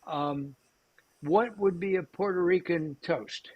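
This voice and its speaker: A man's voice: high-pitched, slow, breathy edge, muffled recording. A man hosting a cooking show, chatting casually with lots of fillers and restarts. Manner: rambling, many fillers and restarts.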